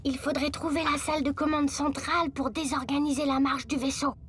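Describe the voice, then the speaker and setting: A woman voicing a plucky, quick-witted young boy hero in a cartoon, spirited and boyish. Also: high-pitched, fairly even intonation, fairly bright tone, slight background noise. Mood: eager, resourceful. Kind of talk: cartoon voice acting.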